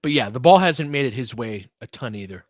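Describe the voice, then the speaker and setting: A man doing casual live basketball commentary and analysis, talking informally and thinking out loud as he goes. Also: medium pitch, animated, brisk, no echo, clean studio sound. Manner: conversational, informal, thinking aloud. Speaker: basketball play-by-play streamer and analyst.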